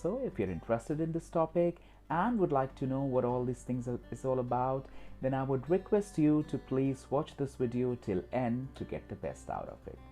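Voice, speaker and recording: An Indian-accented man, a native Hindi speaker, hosts an instructional talk. His voice is soft-edged and mellow, with wide melodic swings. He speaks emphatically and repeats himself, over a clear music bed. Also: mid-range voice, expressive, normal speed. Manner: repetitive, emphatic, with frequent "you know". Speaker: host of a skills channel.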